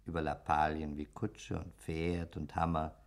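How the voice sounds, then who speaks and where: A man's voice: extremely low pitch, level tone, a little slow. An actor playing the polite, sardonic ghost of a murdered man in a radio play, chatty and mocking. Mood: courteous, inviting. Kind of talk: German radio play acting.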